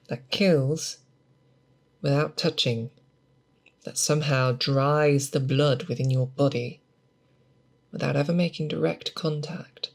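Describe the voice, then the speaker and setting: Woman doing a seasoned monster hunter teacher, asmr roleplay, real slow and breathy, patient, asks questions, close mic. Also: sits low, a little mellow, heavily acted. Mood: confident, instructive. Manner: deliberate, instructive, questioning, thoughtful pauses. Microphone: close binaural microphone.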